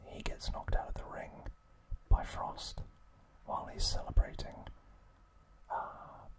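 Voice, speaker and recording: Man whispering slowly, ASMR style. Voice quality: breathy, unvoiced whisper. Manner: slow, soft, deliberate phrasing with pauses. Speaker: ASMR video host. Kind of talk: whispered ASMR trivia recap.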